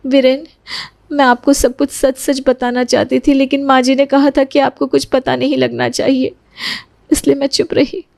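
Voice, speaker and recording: A very high, creaky voice of a timid young daughter-in-law, put on by a woman. She sounds apologetic and pleading, in cartoon moral-story manner. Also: flat delivery, moderate pace, a little mellow. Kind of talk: acted dialogue in Hindi moral story cartoon.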